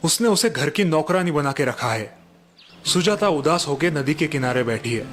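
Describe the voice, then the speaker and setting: A man's voice: a little high, moderate pace. Man narrating a bedtime story. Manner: even, explanatory narration. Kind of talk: scripted storytelling.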